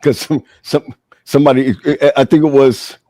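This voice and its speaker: A man's voice: medium pitch, steady tone, moderate pace, dark tone, no echo. A man hosting an online conversation, chatty and affirming as he brings guests in. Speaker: host.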